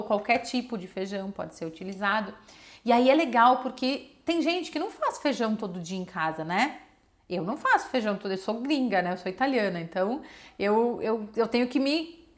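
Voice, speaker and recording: A woman with a southern Brazilian accent talks viewers through practical advice. Her voice sits on the higher side. She is informal and conversational, often tagging her sentences with small checks for understanding. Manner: conversational, frequent checking tags and diminutives. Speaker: children's nutritionist giving advice. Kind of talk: casual explanatory talk to viewers.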